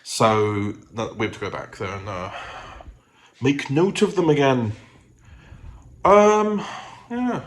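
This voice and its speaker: A man's voice: mid-range voice, animated, slow. A man chatting casually to his vlog audience in a rambling, self-interrupting way. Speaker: vlogger and audio drama producer. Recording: noticeable background noise.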